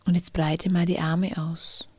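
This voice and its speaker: A woman leading a guided inner-child meditation, speaking softly and often in a whisper, with gentle, drawn-out instructions close to the mic. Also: fairly low pitch, flat delivery, breathy edge, dry recording.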